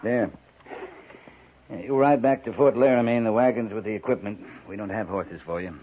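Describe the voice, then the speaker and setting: Gruff frontier cavalry officer, acted by a man: clipped authority, dry delivery, narrow noisy vintage radio sound. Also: lowish voice, a little fast, close to an everyday voice. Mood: stern. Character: gruff, commanding cavalry captain of the old West. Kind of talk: 1950s radio western acting. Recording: muffled, noisy 1950s radio broadcast.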